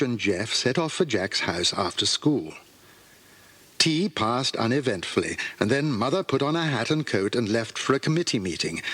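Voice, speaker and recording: An English man narrates a children's storybook. His delivery is expressive, with a lively, wide-moving pitch and a slightly husky edge. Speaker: audiobook narrator. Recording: cassette transfer of audiobook.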